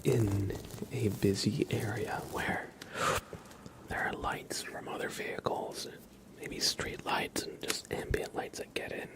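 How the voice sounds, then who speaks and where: Whispering, slow-paced male ASMR streamer. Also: fairly low pitch, steady tone. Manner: soft, hushed, unhurried. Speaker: ASMR livestream host. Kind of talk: whispered ASMR, casual livestream talk.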